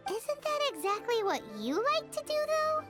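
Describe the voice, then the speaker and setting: A woman's voice: very high-pitched, very animated, normal speed. A woman voicing a small, sassy fairy-like companion who makes teasing, skeptical quips, heard as game audio over background music. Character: tiny floating fairy-like female companion, sassy.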